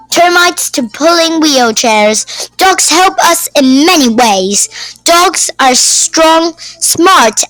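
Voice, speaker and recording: A woman reading a children's nonfiction book aloud as host, with deliberate, simple phrasing. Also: high voice, a bit bright, no room sound, low-quality recording. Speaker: YouTube read-aloud host.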